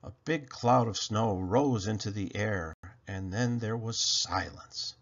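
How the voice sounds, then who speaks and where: A man reading a children's storybook aloud as narrator, with expressive, deliberate and dramatic delivery. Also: fairly low pitch, muffled recording. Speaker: storybook narrator, channel host.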